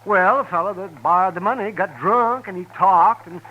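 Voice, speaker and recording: An actor playing an evasive, nervous older frontier doctor in a 1950s radio drama, hesitant and stammering. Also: male voice, high voice, moderate pace, muffled recording.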